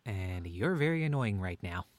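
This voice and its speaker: Actor as doting, wry young father for radio play, lively swinging intonation, no room sound. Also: sits low, moderate pace, no room sound. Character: playfully bickering, doting stay-at-home dad. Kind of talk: two-hander audio drama reading.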